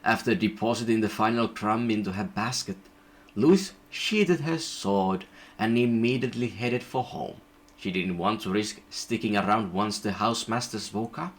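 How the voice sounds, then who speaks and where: A man reading an illustrated storybook aloud in an even, gentle narration. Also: on the low side.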